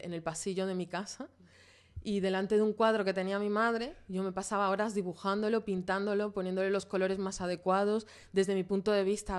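A woman's voice: on the low side, clean tone. A woman from Venezuela, a podcast guest, chatting casually and hesitantly with many fillers. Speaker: podcast guest, graphic designer. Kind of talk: casual interview chat.

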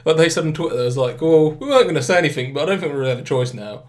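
British guy hosting a gaming podcast, bit nasal from a cold, rambly and casual, slightly higher voice. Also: very animated, racing. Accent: British English. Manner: rambling, restarts, acts out quotes. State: head cold, blocked nose. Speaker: podcast host.

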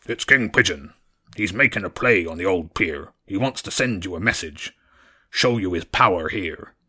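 Dude doing a nervous seagull soldier, stammery, sucking up to the boss. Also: male voice, low-pitched, animated, moderate pace, bright, forceful tone, breathy edge, exaggerated voice. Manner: stammering, fawning over titles.

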